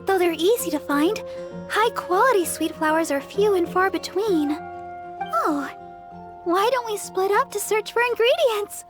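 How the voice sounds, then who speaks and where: A woman's voice: very high-pitched, expressive, measured pace. A woman voicing a sweet, earnest young church sister in an anime game, speaking politely and eager to please. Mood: thoughtful, polite. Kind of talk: anime game character voice acting. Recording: music behind the voice. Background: game music.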